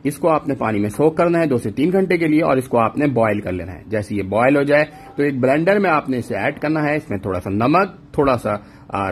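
A man's voice: medium pitch, a little hiss or hum. A man narrating a cooking recipe in an instructional voice-over, walking through each step and mixing in English words. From Pakistan. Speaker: cooking channel host.

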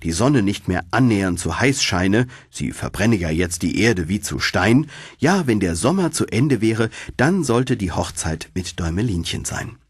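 Husky, expressive male storyteller. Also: fairly low pitch, normal speed, dry recording, studio quality. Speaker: audiobook narrator. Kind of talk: scripted fairy-tale narration.

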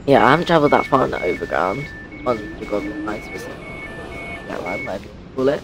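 A man's voice: on the low side, animated, quick pace, music in the background. A young man from with a blocked, stuffy nose, chatting offhandedly while playing a train simulator. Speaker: game streamer. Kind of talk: casual gameplay commentary.

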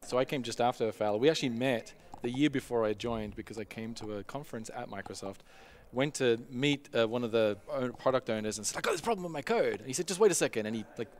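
A man's voice: medium pitch, expressive, fast talker, slight background noise. A middle-aged man, chatting casually as a podcast guest. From Australia and England. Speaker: podcast guest, software engineer. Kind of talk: casual chat.

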